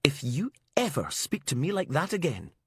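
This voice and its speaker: A man's voice: a little high, big pitch swings, a little fast, a bit bright, no echo, clean studio sound, voice pushed up. Man voicing an imperious, icy woman pathologist. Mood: outraged. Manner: clipped, cutting, indignant. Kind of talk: audiobook character acting.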